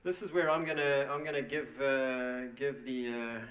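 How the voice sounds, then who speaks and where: Man presenting at a conference, halting with lots of fillers, echoey hall, mic a bit far off. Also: mid-range voice, level tone, fairly bright tone. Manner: halting, many fillers and restarts. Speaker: company presenter.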